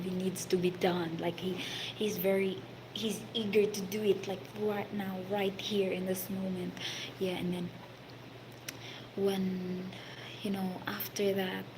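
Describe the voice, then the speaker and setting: A woman with a Filipino accent recounts a story at a slow, unhurried pace, stopping often on fillers and sometimes sinking into a half-whisper. Steady background noise sits clearly under her voice in this casual recording. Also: mid-range voice. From Philippines. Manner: halting, many fillers, partly whispered. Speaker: hospitality worker telling a story. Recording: noisy background.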